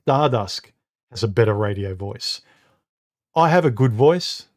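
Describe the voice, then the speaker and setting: Guy doing a live stream at home, deep kinda muffled voice, lots of uh and um, keeps repeating words. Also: lively intonation. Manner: halting, repeats words, many uh and um fillers. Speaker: live stream host reading comments. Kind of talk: casual live stream talk. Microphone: studio microphone.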